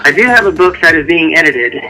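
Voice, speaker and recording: A man's voice: fairly high pitch, lively intonation, quick pace, sharp tone, soft background music, poor audio. A man speaking as an author guest in a casual interview, rambling and conversational.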